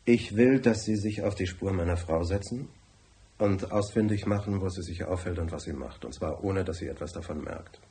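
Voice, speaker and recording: Man playing a deep-voiced, brusque businessman. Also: moderate pace, mellow, a little hiss or hum, lightly acted. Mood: terse, confiding. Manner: curt, formal, bossy. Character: brusque, tight-fisted, wealthy businessman client. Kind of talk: radio drama acting.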